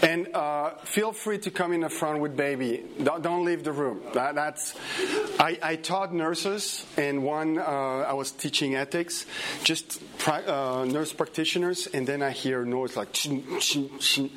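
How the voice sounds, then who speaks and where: A man's voice: on the high side, measured pace. French guy giving a talk in a church hall, lots of uh and restarts, faint room hum. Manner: hesitant, many fillers and restarts, chatty asides. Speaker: conference speaker. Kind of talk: conference lecture.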